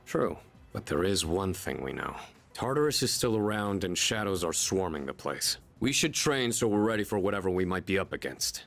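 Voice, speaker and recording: A man playing a level-headed senior student leader, steady and matter-of-fact, in an anime-style game dub. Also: sits low, moderate pace, vocal fry, full character voice. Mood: resolved.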